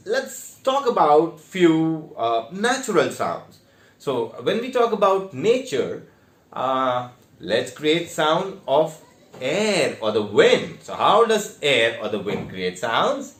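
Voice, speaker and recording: Indian guy teaching drama class, kinda high voice that goes up and down a lot, echoey room. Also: very animated, slow, fairly bright tone. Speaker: theatre teacher. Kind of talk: instructional online lesson with demonstrations.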